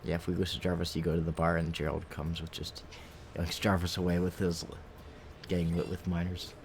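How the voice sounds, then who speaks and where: Male game streamer, low voice, rambling casual commentary, faint noise behind. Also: little pitch movement, a little fast. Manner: rambling, thinking aloud. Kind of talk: casual live gameplay commentary.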